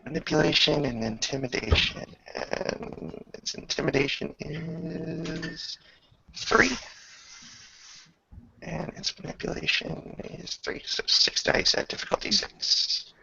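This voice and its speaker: A man speaking as game master in a casual tabletop roleplaying session, halting and muttering through rules and dice. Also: on the high side, steady tone, creaky, noisy background. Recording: dull lo-fi recording.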